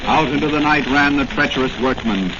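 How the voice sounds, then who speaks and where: An actor as the narrator of a 1940s radio serial, declaiming in a solemn, suspenseful style. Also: male voice, a little high, fairly quick, over loud music, lightly acted. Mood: urgent, dramatic. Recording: noisy, muffled old radio recording.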